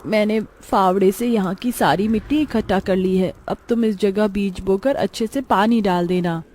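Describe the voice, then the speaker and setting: A woman's voice: medium pitch, fairly even intonation, normal speed, a little mellow, slight background noise, close to an everyday voice. Woman playing a practical young village daughter. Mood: businesslike.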